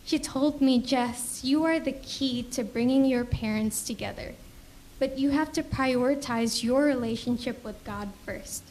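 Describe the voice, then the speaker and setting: A young woman gives a sincere, earnest testimony before her church. Her voice sits high and light. A faint murmur of room sound is heard behind her. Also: unhurried, a little mellow, a little hiss or hum, poor audio. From Indonesia. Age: young adult. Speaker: church member giving testimony. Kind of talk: personal testimony speech. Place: church service.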